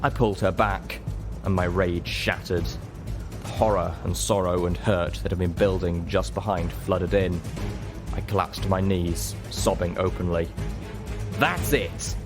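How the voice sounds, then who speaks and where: A man reading a dramatic story as an audiobook narrator, measured, solemn and emotive. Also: low voice, level tone, music in the background. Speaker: audiobook reader.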